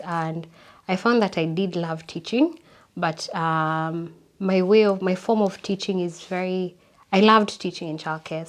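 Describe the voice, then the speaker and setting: Reflective woman, low-pitched. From Kenya. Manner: reflective, unhurried, repeats words for emphasis. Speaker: interview guest. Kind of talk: personal life story in an interview.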